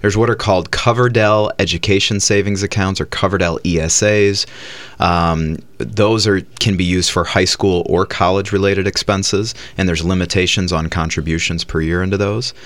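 A man's voice: low voice, fairly even intonation, normal speed, fairly bright tone. A man hosting a radio money show, patiently explaining retirement accounts to a caller. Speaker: financial advisor radio host. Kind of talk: explaining finances on call-in radio.

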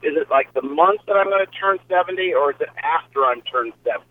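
A man nearly seventy calling in to a radio show, asking a halting question with restarts. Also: fairly high pitch, animated, no echo. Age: 60s. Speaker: caller. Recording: phone-line caller audio.

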